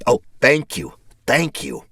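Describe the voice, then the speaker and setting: A man voicing a chatty, nosy, eccentric male neighbor in an audio story, with a folksy, rambling, excitable way of talking. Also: mid-range voice, slow pace, husky, no room sound, clean studio sound, voice pushed up, heavily acted. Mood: eager, friendly.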